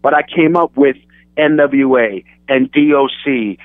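A man's voice: medium pitch, measured pace, no echo. A man with a light New York accent, the guest on a radio show, talking casually with many restarts. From born in London, raised in Queens, New York. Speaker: guest rapper. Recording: tinny phone-like sound.

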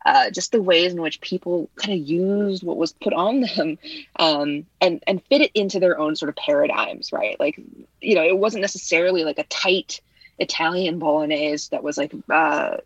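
Low, gravelly woman with a husky voice. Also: normal speed, no echo, lo-fi sound. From United States. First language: Vietnamese. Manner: chatty, heavy on fillers like "like" and "you know". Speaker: guest writer. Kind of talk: casual conversation.

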